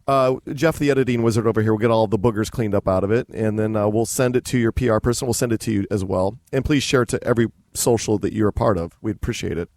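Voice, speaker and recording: A man's voice: fairly low pitch, fast, hardly any pauses, a little mellow. A man co-hosting a casual podcast, asking loose, rambling questions with frequent restarts. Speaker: podcast co-host.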